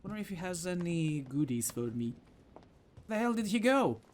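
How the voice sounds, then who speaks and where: A man's voice: sits high, very animated, moderate pace, a little hiss or hum. A man doing casual game commentary, playful, with muttered asides and exclamations. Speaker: gaming video host.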